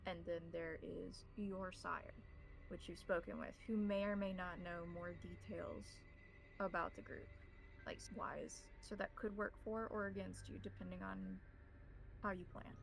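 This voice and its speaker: A woman narrating a tabletop role-playing game as game master, in measured, formal wording. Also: on the low side, fairly even intonation, gravelly, faint music behind, poor audio.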